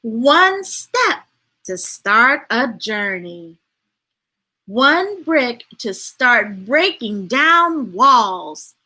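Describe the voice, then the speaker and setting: Woman, children's storytime host, high lively voice, playful emphatic read-aloud, unhurried. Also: rough recording. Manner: expressive, playful, emphatic reading. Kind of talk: animated read-aloud for children with chatty asides on a livestream.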